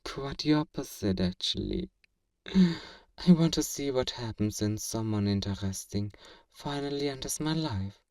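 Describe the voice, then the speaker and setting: Man playing a slow-spoken, teasing fantasy villain. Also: medium pitch, animated, a little mellow, no room sound, clean studio sound, recorded from a distance. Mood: coolly confident. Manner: theatrical, deliberate, formal, teasing, with breathy whispers. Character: powerful male fantasy villain, teasing, smug, obsessively flirtatious. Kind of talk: ASMR romance roleplay.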